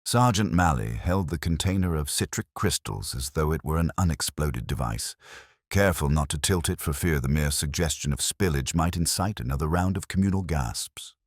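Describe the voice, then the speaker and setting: A man narrating a cosy mystery story in a measured, formal, literary manner. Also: rumbling low, no room sound, clean studio sound. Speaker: audiobook narrator.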